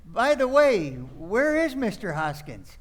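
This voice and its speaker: A man's voice: sits high, very animated, slow pace, exaggerated voice. A man playing a flustered, exasperated nephew in a radio play, agitated and incredulous. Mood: alarmed. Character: flustered, exasperated nephew of two batty aunts.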